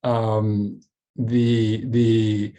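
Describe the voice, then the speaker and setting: Halting man presenting company earnings. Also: fairly low pitch, flat delivery, dark tone. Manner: halting, repeats words and restarts often. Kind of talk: semi-scripted business presentation on a web earnings call.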